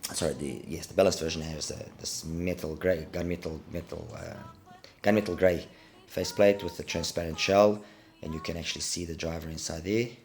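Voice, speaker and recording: Deep-voiced man reviewing gear with a cold. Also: steady tone, fairly quick, a little mellow. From Portugal. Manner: hesitant, repeats words for emphasis. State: congested with a cold. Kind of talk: casual product review.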